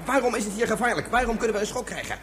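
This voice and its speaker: A man's voice: sits high, animated, rapid-fire. A man playing an earnest, exasperated father and space investigator in a radio play, serious and flustered. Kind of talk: science fiction radio play acting. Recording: very noisy radio play recording.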